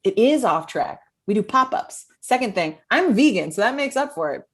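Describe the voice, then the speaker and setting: A woman's voice: medium pitch, big pitch swings, normal speed, husky. Woman acting a brash, shady dealer with swooping intonation. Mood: defensive. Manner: cocky, wisecracking. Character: shady, brash woman selling poison and racing coyotes. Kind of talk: comedy pilot table read.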